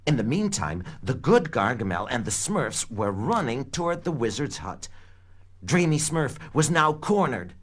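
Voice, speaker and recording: A man narrating a scripted children's read-along story in an expressive, dramatic storytelling manner. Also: mid-range voice, a little slow, husky. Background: background music.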